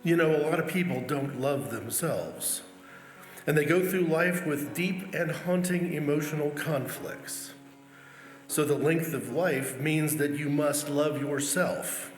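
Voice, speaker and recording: A man's voice: on the high side, a little slow. A man reading out famous speech quotations as a church member. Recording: echoey hall, music underneath. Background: music.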